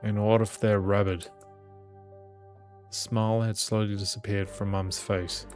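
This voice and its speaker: A man's voice: fairly low pitch, level tone, a little slow, a little mellow. A man reading a visual novel aloud as dramatic narration, with small stumbles here and there. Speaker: gaming channel host reading the game aloud. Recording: music behind the voice. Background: game music.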